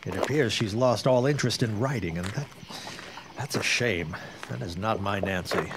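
A man's voice: on the low side, moderate pace, heavy background noise, lightly acted. An actor playing a wary, grave 1910s newsman in a radio drama, speaking in formal, old-fashioned phrases. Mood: sombre. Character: grim, wary 1910s newsman and worried father. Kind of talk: period radio drama acting.

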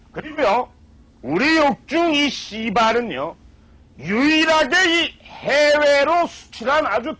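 A man on a comedy stage giving a mock lecture, drawing out his words slowly for emphasis. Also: extremely high pitch, fairly bright tone. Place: stage with live audience.

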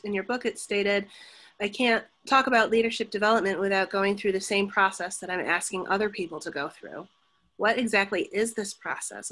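A woman's voice: mid-range voice, a bit bright, low-quality recording. Woman moderator reading questions.

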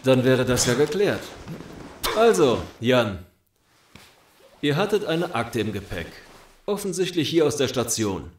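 An actor playing a smug, softly menacing gang boss interrogator in an audio drama, mock-polite, taunting and deliberate. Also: male voice, medium pitch, big pitch swings, echoey room, slight background noise.

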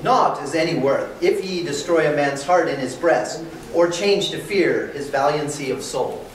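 A man's voice: on the high side, a little slow, close to an everyday voice. A man voicing a bold, visionary chapel priest, declaiming archaic verse in a staged dramatic reading. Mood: earnest, bold. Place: hotel conference room, indoors. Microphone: camera across the room. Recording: very echoey room, distant mic, faint noise.

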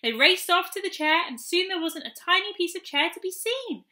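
A young woman reading a children's story aloud in an expressive storytelling style. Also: extremely high pitch, moderate pace. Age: young adult. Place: small room at home, indoors.

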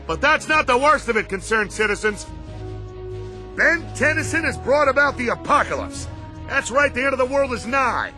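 A man's voice: very high-pitched, animated, normal speed, bright, forceful tone, soft background music, heavily acted. An actor playing an alarmist, pompous male TV news pundit delivering a sensational report in a video game. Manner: pompous, theatrical.

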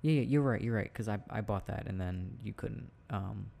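A young man playing a sweet, shy, devoted boyfriend in an intimate ASMR roleplay, speaking softly and hesitantly, close to the mic. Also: on the low side, gravelly. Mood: playful, relaxed. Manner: soft, tender, hesitant, halting.

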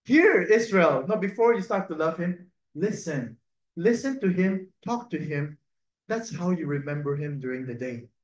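A man, a priest and native Cantonese speaker, giving a gentle, conversational reflection on the Sunday readings, often adding a questioning "no?". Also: a little high, expressive, moderate pace. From Cantonese-speaking region. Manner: gentle, conversational, tag "no?" and soft laughs. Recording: echoey room.

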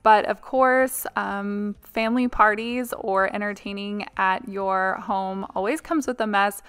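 A woman's voice: mid-range voice, fairly even intonation, a little slow, a bit bright, clean tone. A woman in her thirties, a mother and home vlogger, chatting through a cleaning video voice-over in a confiding, casual way with little ums.

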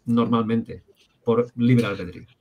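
A man's voice: medium pitch, steady tone, a little slow, a little mellow. A middle-aged man hosting a video call interview, posing questions and repeating words as he starts. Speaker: host. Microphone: video call webcam.

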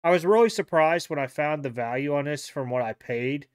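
A man's voice: fairly high pitch, a little fast, smooth. A man from Ohio talking casually about cards he picked up, rambling and correcting himself as he goes. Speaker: card dealer and vlogger. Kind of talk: casual vlog showing trading cards. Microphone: desk mic, off camera.

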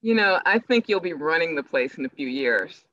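A woman's voice: low voice, expressive, moderate pace, fairly bright tone, muffled recording. A woman playing an earnest, forward church organizer in an online play reading over a video call. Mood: friendly, forward. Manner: eager, proselytizing. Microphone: video call microphone.

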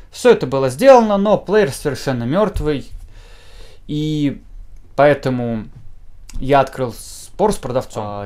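A man's voice: medium pitch, a little mellow. Young man with a very varied intonation. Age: young adult. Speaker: gadget reviewer. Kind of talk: informal product review to camera. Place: small indoor room, seated at a table.

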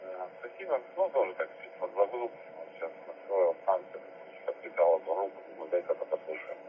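A man comes through a radio link, thin and narrow-band with faint noise. His voice is fairly low and moves up and down a lot. He chats in short, polite phrases as a ham operator. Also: expressive, fairly quick. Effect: radio transmission. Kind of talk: casual ham radio contact. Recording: narrow-band noisy radio speaker playback.

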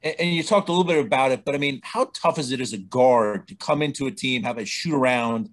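Brief: male reporter, quick and casual, firing off questions. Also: mid-range voice. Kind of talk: press conference questions.

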